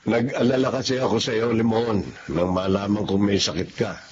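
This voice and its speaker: An actor playing a gentle, ailing male boss burdened by guilt in a Filipino radio drama. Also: fairly low pitch, little pitch movement, normal speed, lo-fi sound, slight background noise, lightly acted. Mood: earnest.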